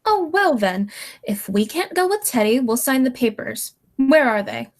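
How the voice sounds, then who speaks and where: A woman's voice: on the high side, lively intonation, unhurried. A young woman playing a kindly, prim elderly aunt in a radio play, motherly and fussy. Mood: eager.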